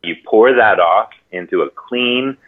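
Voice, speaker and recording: A man from Hawaii explaining things casually and haltingly, pausing often mid-sentence, over a call. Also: fairly low pitch. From Hawaii, United States. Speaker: interview guest, natural farming expert. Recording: narrow tinny phone-like line.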